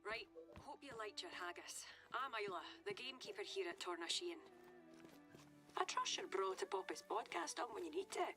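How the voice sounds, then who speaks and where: An actress playing a no-nonsense Scottish gamekeeper in a video game, with a dry, casual, teasing manner. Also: female voice, medium pitch, sing-song, moderate pace, over music. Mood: wry, brisk. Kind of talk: scripted game dialogue.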